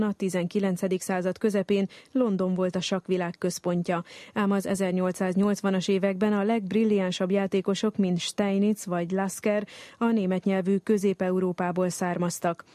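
A woman narrating an archive radio documentary, reading in a steady, even way. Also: medium pitch, no echo.

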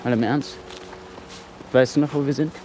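A medium-pitched male voice acted by a man plays a suspicious, controlling figure in a radio drama. His delivery is terse, probing and menacing, over clear outdoor ambience with animal sounds. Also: fairly even intonation, normal speed, mellow, slight background noise, lightly acted, poor audio. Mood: taunting, probing. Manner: probing, taunting, terse questioning. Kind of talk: radio play acting.

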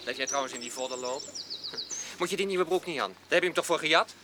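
A male actor playing an indignant vagabond thief in a radio drama, excitable and scolding. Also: a little high, fast talker, background noise, natural voice. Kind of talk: Dutch radio drama acting.

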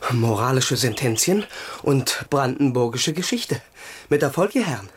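An actor playing a bitter, cultured young crown prince in a radio play, declaiming with theatrical irony. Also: male voice, medium pitch, sing-song, moderate pace, slight background noise, close to an everyday voice. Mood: biting sarcasm. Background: music.

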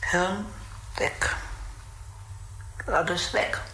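A haunted, worn-down captive in a radio play. She talks very slowly in terse, broken phrases, withdrawn and evasive. The recording is heavily echoed, with noticeable noise around her. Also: fairly low pitch, steady tone, sharp tone, exaggerated voice. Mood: hesitant, haunted. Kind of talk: radio drama acting. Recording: very echoey, noisy ambient room.

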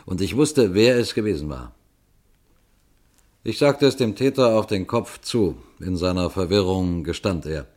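A calm older police detective telling a puzzle case in a radio play. His voice sits very low, and he speaks to the listeners in a measured, unhurried way. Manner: measured, addresses listeners directly. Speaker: detective narrator.

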